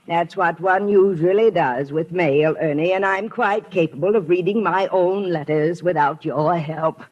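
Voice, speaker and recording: An actress playing a crabby, prim, nosy spinster who is snippy and bossy, in 1950s radio drama style. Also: female voice, lowish voice, a little slow, a little mellow, lo-fi sound. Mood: impatient, crabby. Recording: noisy 1950s radio broadcast.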